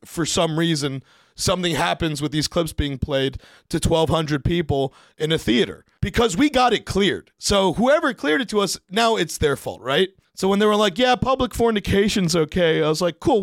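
A man telling work stories in a casual, animated way, swearing freely and acting out the conversations as he goes. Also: on the high side, dry recording. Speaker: podcast studio staff member telling work anecdotes. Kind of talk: casual storytelling to camera.

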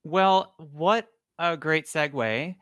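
Guy cohosting a podcast, scratchy hoarse voice, jokey, dry sound. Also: a little high, slow pace, fairly bright tone, no echo. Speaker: podcast co-host. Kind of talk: casual chat.

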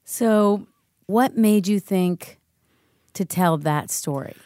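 A woman's voice: medium pitch, slow pace, dark tone, dry recording, clean studio sound. A woman hosting a podcast, asking questions in a conversational interviewing style.

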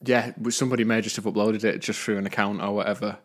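A man's voice: fairly low pitch, fairly even intonation, quick pace. A man co-hosting a podcast in casual chat, sniffly with a cold, rambling and laughing. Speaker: podcast co-host.

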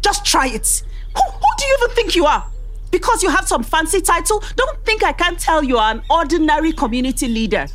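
An indignant Nigerian environmental campaigner in an audio drama. Her voice is high and swoops widely as she insists and challenges, stubborn and full of her own importance. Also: animated, fairly quick, fairly bright tone. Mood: haughty, angry. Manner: insistent, confrontational. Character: determined, indignant female environmental activist, self-important and stubborn.

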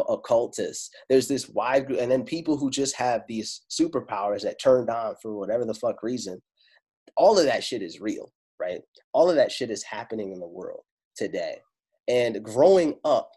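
Italian-born guy, first language italian, low husky voice, chill storytelling about spirit stuff, swears a lot. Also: darkish tone. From Italy. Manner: casual and profane, slows down for emphasis. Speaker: spiritual speaker. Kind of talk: casual storytelling talk.

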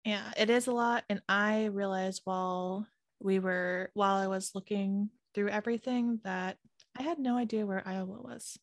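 A woman's voice: medium pitch, steady tone, normal speed, no room sound, studio quality. Bubbly, giggly woman co-host. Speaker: podcast co-host. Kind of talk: casual chat.

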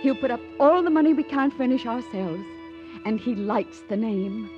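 An actress in an old-time radio drama playing a devoted, hopeful wife, tender and encouraging, on a dull vintage recording with music. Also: female voice, a little high, animated, moderate pace, close to an everyday voice. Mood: tender, eager.

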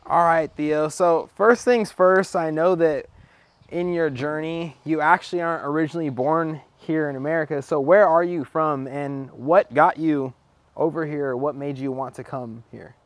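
A man's voice: a little high, normal speed, no room sound. Young man hosting a casual podcast. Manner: relaxed, friendly, asks easy questions. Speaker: podcast host. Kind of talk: casual interview chat.

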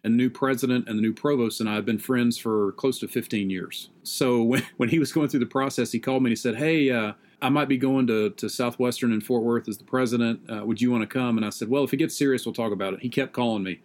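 A man's voice: mid-range voice, steady tone, fast, few pauses, a little mellow. Chatty Southern man on a video call. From South Carolina, US. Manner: chatty storyteller, acts out quoted exchanges. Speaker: seminary professor. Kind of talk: casual conversation.

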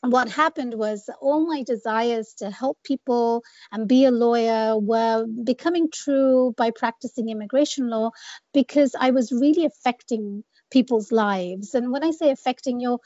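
A woman's voice: on the high side, moderate pace, a little mellow, no echo, muffled recording. A woman from England, an immigration lawyer, answering interview questions earnestly and reflectively, often restarting her sentences. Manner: earnest, reflective, with frequent restarts and "you know".

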